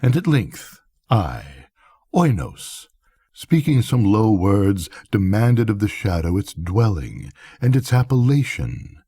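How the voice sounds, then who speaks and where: An older man reading a gothic bedtime story aloud in a solemn, measured, theatrical manner. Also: lowish voice, big pitch swings, mellow, husky. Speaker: storybook reader.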